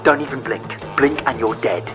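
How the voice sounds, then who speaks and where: A man's voice: medium pitch, moderate pace, music in the background, lightly acted. An actor playing an intense man giving clipped, urgent warnings. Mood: urgent, grave. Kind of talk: dramatic sci-fi acting.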